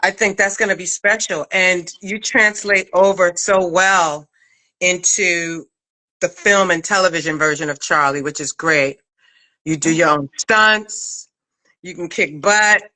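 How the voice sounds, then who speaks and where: A woman's voice: on the low side, unhurried, pushed and bright, rough recording. A woman from Brooklyn conducting a casual, conversational interview as a director and acting coach. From Brooklyn, USA.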